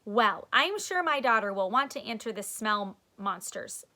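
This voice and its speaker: Female reader as good-humored storybook class leader, explanatory, unhurried, bright voice. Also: medium pitch, expressive. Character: patient, good-humored adult woman guiding schoolchildren.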